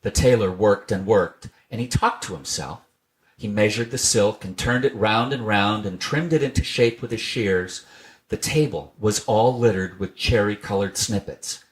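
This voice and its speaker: A man's voice: fairly low pitch, moderate pace, low-quality recording. A man reading a children's tale aloud as scripted storybook narration. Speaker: storybook reader.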